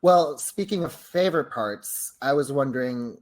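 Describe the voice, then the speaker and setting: A man's voice: mid-range voice, expressive, normal speed, darkish tone. Effusive, courteous male podcast co-host. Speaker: podcast co-host putting questions to colleagues. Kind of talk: casual podcast conversation.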